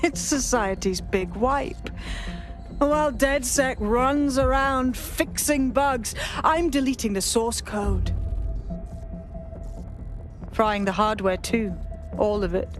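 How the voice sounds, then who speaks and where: A woman's voice: fairly high pitch, slow pace, pauses often, soft background music. A woman playing a cold, self-assured terrorist mastermind in a game, composed and contemptuous. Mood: proud, composed.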